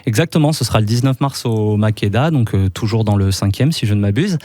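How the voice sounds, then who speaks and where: A man's voice: lowish voice, a little fast, dry recording. A man guest on a radio show, talking casually about his music with lots of fillers and easy laughs. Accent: French. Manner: relaxed, chatty, many fillers and laughs. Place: radio studio.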